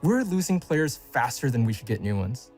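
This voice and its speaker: A man's voice: medium pitch, sing-song, normal speed, a little mellow, faint music behind. A man narrating a scripted retrospective as a game developer, speaking in prepared, complete sentences.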